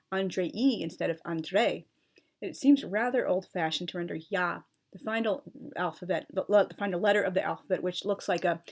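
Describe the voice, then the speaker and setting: Earnest woman spelling out names. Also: fairly low pitch. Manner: earnest, precise, spells words out letter by letter; chatty asides with fillers like "like" and "um". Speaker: channel host explaining language. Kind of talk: talking-head explainer, partly read from notes.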